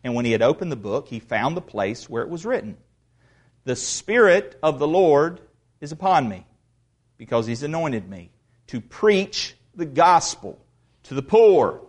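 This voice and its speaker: Man, pastor, fairly high-pitched, casual sermon delivery with restarts, swells to loud proclamation. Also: big pitch swings. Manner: conversational preaching with asides and restarts, rising to loud proclamation; reads scripture aloud slowly. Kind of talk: expository sermon.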